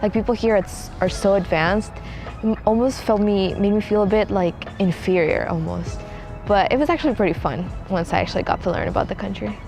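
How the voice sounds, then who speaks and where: A woman's voice: medium pitch, a little fast, gravelly, music in the background. A woman from Ecuador recalls moving to a new country in a documentary interview. She speaks casually and says "like" often. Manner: casual, youthful, uses "like" a lot. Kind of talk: casual personal storytelling.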